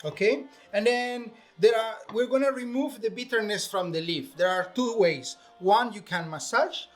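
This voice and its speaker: A man's voice: high voice, moderate pace, faint music behind. Venezuelan man chef showing a recipe. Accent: Venezuelan Spanish. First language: Spanish. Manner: explains step by step, many fillers. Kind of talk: casual cooking demonstration.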